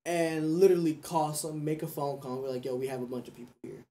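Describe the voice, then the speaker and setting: A man's voice: on the high side, quick pace, warm tone. A young man chatting casually on a podcast, earnest and slangy, often repeating himself for emphasis. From United States. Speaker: podcast speaker. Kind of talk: casual chat.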